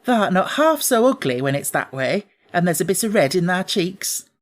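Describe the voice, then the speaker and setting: Man voicing a blunt young Yorkshire housemaid, pitched up. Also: sing-song, moderate pace. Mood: frank, fond. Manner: blunt, homely dialect speech. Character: kind young Yorkshire housemaid, plain-spoken and warm.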